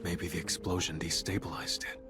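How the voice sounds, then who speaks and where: Man acting a deep, guarded young hunter. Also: little pitch movement, brisk, natural voice. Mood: grim. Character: calm, guarded, commanding young male hunter. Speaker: video game voice actor. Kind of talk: game cutscene dialogue. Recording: music behind the voice.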